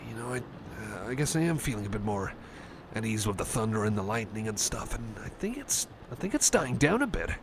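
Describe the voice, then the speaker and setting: A man's voice: lowish voice, big pitch swings, fairly quick. A man playing an anxious, friendly cyborg buddy in an ASMR roleplay, rambling to the listener with hesitant, apologetic stammers. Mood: relieved, shyly eager. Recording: noisy, storm-like ambience behind.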